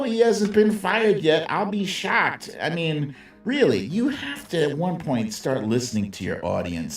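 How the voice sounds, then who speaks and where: Male livestream host, sardonic and opinionated, salty casual phrasing, leisurely delivery, distant roomy sound. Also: on the high side. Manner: sardonic, conversational, salty slang. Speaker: host of a live political commentary stream. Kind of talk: casual opinionated commentary with asides to viewers. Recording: roomy echo, distant mic, music behind.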